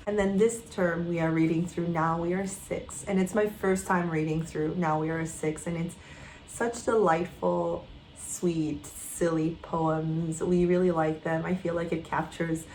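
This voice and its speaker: A woman in her thirties from Quebec, a homeschooling mother giving a casual, gentle update that sometimes rambles while she searches for words. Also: lowish voice, slight background noise, low-quality recording. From Quebec, Canada.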